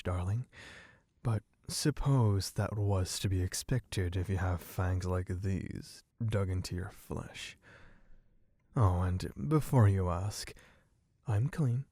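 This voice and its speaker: A man voicing a suave, possessive, bloodthirsty vampire in a low, husky, teasing voice for an ASMR roleplay. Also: dark tone, no echo, heavily acted. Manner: slow, teasing, theatrically genteel, purring endearments.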